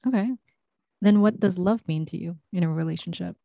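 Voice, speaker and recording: A woman's voice: lowish voice, fairly quick, creaky, mellow, no echo. A woman interviewing a guest, asking probing follow-up questions, often several in a row.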